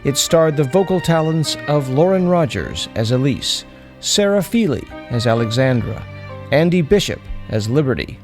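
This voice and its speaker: A man's voice: mid-range voice, expressive, normal speed, a little mellow, soft background music. A man reading a scripted podcast outro and credits as the announcer.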